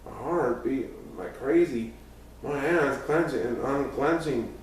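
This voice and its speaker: A man narrates a story in the first person at a mid pitch. He sounds set back from the microphone in a very echoey room. His reading is scripted, with an occasional stumble. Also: takes it slowly. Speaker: audiobook reader. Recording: very echoey room, distant mic, faint noise.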